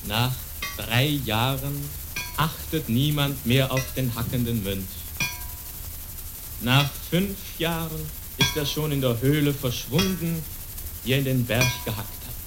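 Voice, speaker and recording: A man narrating a radio play as a solemn, measured storyteller. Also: mid-range voice, music in the background, natural voice, poor audio. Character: solemn male storyteller narrating a monk's legend.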